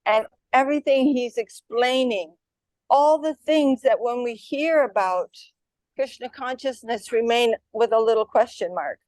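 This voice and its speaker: A soft-spoken woman giving a devotional talk in a friendly, informal way. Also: fairly high pitch, a little slow, no echo, rough recording. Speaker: speaker at devotional gathering.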